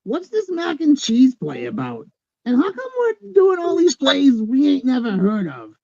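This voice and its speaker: A woman playing a stubborn, teasing rustic theater handyperson with a gravelly, husky voice, in a staged reading on a dull recording. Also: high-pitched, wildly swooping pitch, a little slow, dark tone, no echo, full character voice. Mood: stubborn nostalgia. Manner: folksy, wisecracking. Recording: dull lo-fi sound.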